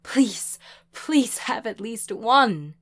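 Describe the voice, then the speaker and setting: A woman narrator as a distraught mother, bitter, demanding, pleading, wailing, raised pitch, audio drama. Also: animated, extremely slow, exaggerated voice. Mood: tearful begging. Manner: wailing, begging, insistent.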